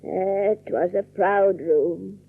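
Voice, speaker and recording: An actress playing a proud, bitter, frightened old spinster in a radio drama, tremulous and halting. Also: female voice, mid-range voice, fairly even intonation, husky, phone-quality sound, full character voice. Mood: wary, weary. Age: older.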